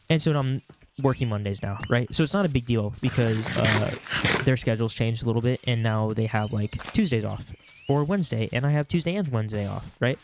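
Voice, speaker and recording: A man's voice: mid-range voice, slight background noise. A young man with a stuffy nose, chatting casually and rambling while he plays a game. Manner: rambling, with many fillers. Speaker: Minecraft vlogger. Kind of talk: casual chat while gaming.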